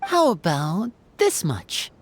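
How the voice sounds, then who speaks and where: Woman playing a low-voiced, courteous traveler. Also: huge pitch swings, takes it slowly, husky, exaggerated voice. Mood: polite, serious. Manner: formal, courteous. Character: courteous, formal, composed young female traveler.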